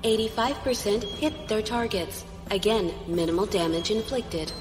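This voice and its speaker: A woman's voice: mid-range voice, normal speed, lightly acted. A woman playing a dutiful, unemotional ship's computer aide in a science fiction audio drama, formal and clipped. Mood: impassive. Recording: long reverb, faint music.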